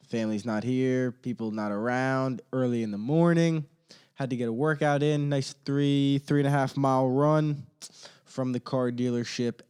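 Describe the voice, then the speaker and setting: Young male podcast host, mid-pitched, casual and rambling, slight hoarseness. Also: darkish tone. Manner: rambling, laid-back, slangy. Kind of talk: casual solo podcast chat. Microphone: studio microphone.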